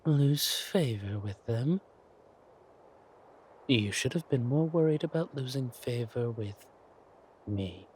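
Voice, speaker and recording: Man playing a slow-speaking, menacing villain king. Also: mid-range voice, expressive, a little mellow, a little hiss or hum. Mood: threatening. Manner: slow deliberate taunting, drawn-out pauses. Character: menacing, possessive villain king, silkily mocking. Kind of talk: audio roleplay villain acting.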